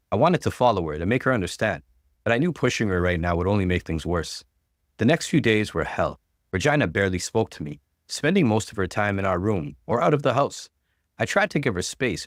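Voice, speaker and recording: A male narrator with a fairly low voice reads a first-person story aloud. His delivery is dramatic and very varied in pitch. The sound is dry, with no room around it. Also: no echo. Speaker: narrator reading stories aloud.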